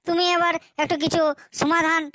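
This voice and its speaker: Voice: very high-pitched, level tone, brisk, pushed and bright, no echo, studio quality. A performer voicing a hapless, gullible village husband in a comic cartoon, whining and hesitant. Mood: puzzled complaint.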